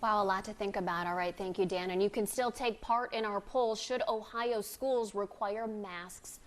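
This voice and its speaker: An American woman presents local news in a mid-pitched voice. She speaks as an anchor addressing viewers in broadcast style. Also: fairly even intonation, normal speed.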